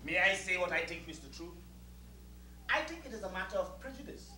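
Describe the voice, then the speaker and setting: An actor playing a proud, meticulous West Indian servant with a Caribbean accent, making formal, emphatic speeches in a theatrical style. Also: male voice, sits high, lively intonation, brisk, sharp tone, heavily acted. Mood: indignant. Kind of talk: theatrical two-hander stage acting. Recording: roomy distant stage recording with hiss.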